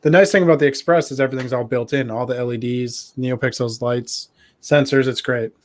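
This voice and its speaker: A man's voice: medium pitch, fairly even intonation, a little fast. Male demo guest, mid-range voice, friendly and plain-spoken, informal show-and-tell. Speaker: guest demonstrating a project.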